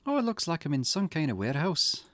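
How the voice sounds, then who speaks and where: A man's voice: a little high, very animated, fast, fairly bright tone, no room sound. A man voicing a confused, dramatic Scottish woman who has lost her memory, in a video game. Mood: puzzled, searching. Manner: bewildered, questioning, dramatic. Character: confused amnesiac woman waking in pain, visual novel protagonist.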